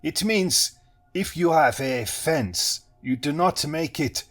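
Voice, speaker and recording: A man voicing an eccentric middle-aged male hypnotist with an Eastern European accent, theatrical and booming. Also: lively intonation, slow pace, fairly bright tone. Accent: Eastern European, put on. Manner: theatrical, booming, prone to wild laughter.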